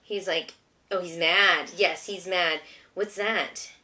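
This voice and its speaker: Slow-paced woman teaching a child to read. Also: on the low side, fairly even intonation, sharp tone. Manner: encouraging, patient, speaking simply to a young child. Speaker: homeschooling mother teaching her child to read. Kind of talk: reading a picture book aloud and prompting with simple questions.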